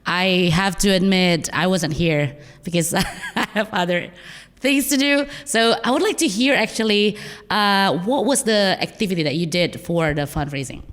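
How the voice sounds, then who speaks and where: Female podcast host, hoarse, cheerful and questioning, reverberant room. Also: lowish voice, moderate pace, a bit bright. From Indonesia. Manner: upbeat, laughs often, asks questions. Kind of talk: casual podcast chat.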